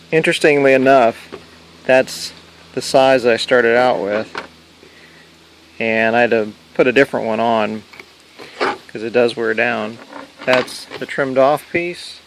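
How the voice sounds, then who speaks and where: A man's voice: medium pitch, a little hiss or hum. A man giving a casual, plain-spoken how-to narration, explaining a hands-on DIY install step by step. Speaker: hobbyist demonstrating a DIY car install.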